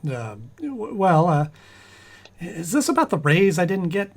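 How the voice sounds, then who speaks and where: A man's voice: high voice, sing-song, unhurried, full character voice. A man acting a flustered, eager young husband and employee in an old-time radio sitcom, stammering and earnest. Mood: nervous, apologetic.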